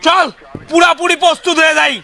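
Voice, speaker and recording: Man acting a very shrill cocky village sidekick. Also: little pitch movement, a little fast, creaky. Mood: threatening. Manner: loud, taunting. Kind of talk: slapstick comedy skit acting. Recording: music behind the voice.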